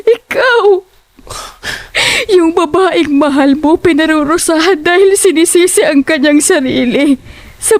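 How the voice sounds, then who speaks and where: A woman playing a grieving, tearful mother who pleads in anguish in an audio horror drama. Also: very high voice.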